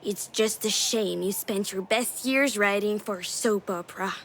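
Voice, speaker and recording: A woman's voice: mid-range voice, a little slow, fairly bright tone, a little hiss or hum, rough recording. An actress voicing a cheeky, affectionate young daughter in a video game, with a playful, girlish, teasing manner. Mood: playful, reassuring. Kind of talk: naturalistic video game drama acting.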